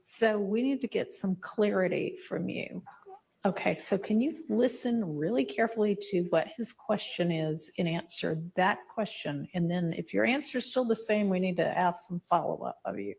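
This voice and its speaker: A woman judge speaking in court, giving measured, careful instructions to a witness. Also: medium pitch, animated, vocal fry, a little mellow, husky. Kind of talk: courtroom questioning. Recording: noisy courtroom audio.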